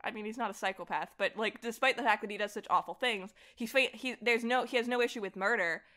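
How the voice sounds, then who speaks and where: A woman's voice: a little high, quick pace, sharp tone. A woman chatting casually and enthusiastically as a guest on a podcast. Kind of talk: casual chat.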